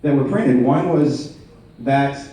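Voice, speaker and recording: Man, formal debate, methodical and insistent, soft-edged tone, picked up from a distance. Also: mid-range voice, slow pace. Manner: precise, insistent, methodical questioning. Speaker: delegate questioning the chair at a meeting. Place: large indoor meeting room. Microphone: floor microphone. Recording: roomy echoing hall, distant mic.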